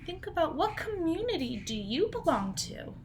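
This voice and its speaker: A woman's voice: very high-pitched, very animated, moderate pace. A woman in her thirties, a teacher talking simply to young children as she reads a storybook aloud at home. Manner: questioning, explaining simply to children. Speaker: teacher reading a picture book aloud. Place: indoors in a home room. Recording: clear background noise, home video call.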